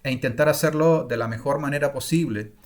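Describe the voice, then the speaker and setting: Middle-aged man pausing through a masterclass. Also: mid-range voice. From Spain. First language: Spanish. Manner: thoughtful and unscripted, pausing with fillers and restarts. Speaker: language-learning course creator. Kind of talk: recorded online masterclass.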